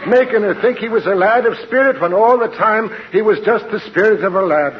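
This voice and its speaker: An actor playing a jolly, blarney-spouting Irish uncle in a theatrical brogue, in 1940s radio comedy style. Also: male voice, sits high, fairly quick, natural voice. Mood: mock indignant. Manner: theatrical stage-Irish brogue, flowery sweet talk. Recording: muffled, noisy 1940s radio recording, studio audience.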